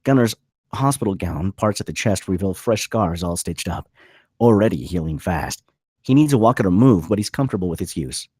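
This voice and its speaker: A man narrates screen directions from a script in a low, husky voice. The sound is dry and close, as at a table read. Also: lively intonation, a little fast, dark tone, no room sound. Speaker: stage-direction reader.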